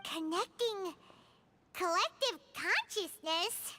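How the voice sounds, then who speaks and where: A woman voicing a tiny excitable fairy companion in a video game, with a squeaky, chirpy voice. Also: slow, a little hiss or hum, heavily acted. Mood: puzzled.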